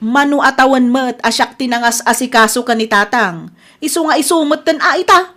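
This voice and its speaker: A grown Ilocano daughter in a comedy radio drama voiced by a woman. Her voice is distinctly high. She is curt and dismissive, with a resentful, self-justifying edge. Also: brisk, bright, forceful tone.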